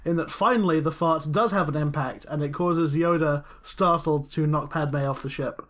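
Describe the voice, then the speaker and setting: A man's voice: on the high side, moderate pace, a bit bright. A man co-hosting a podcast in casual chat, making dry quips and wordplay between many ums and stammers. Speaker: podcast co-host.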